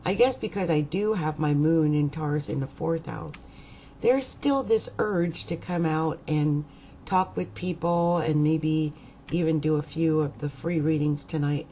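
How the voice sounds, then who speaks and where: Woman, croaky, low mellow voice, slow rambling talk, faint room hum. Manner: rambling, hesitant, many fillers. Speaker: astrologer. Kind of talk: casual rambling vlog.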